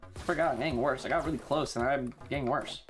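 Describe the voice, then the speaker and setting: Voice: mid-range voice, quick pace, soft background music. A streamer chatting casually and swearing freely while playing a game on a livestream.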